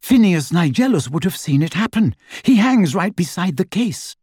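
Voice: medium pitch, sing-song, normal speed, darkish tone, breathy edge, dry recording, clean studio sound, voice pushed up. A performer voicing an earnest, insistent teenage girl, a young witch who speaks politely and precisely, in an audiobook. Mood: urgent.

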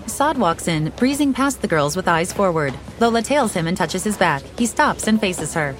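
A woman reading scripted audio description in a calm, even, precise way. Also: lowish voice, moderate pace. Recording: voice over soundtrack music.

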